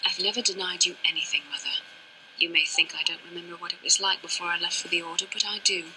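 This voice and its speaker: A proud young Jedi woman for a video game, guarded and resentful toward family. The voice is very bright and forceful, set a little low, with formal, clipped, earnest phrasing. Faint noise and ambience sit behind it. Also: steady tone, fast, rough recording. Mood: bitter, accusing. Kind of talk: dramatic scripted game dialogue.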